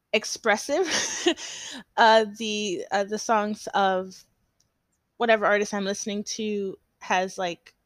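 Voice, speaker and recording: A woman's voice: mid-range voice, dry recording. A young woman hosting her own podcast, rambling and hesitant in a casual solo chat, a little stuffy from allergies. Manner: rambling and hesitant, many fillers and restarts. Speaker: podcast host.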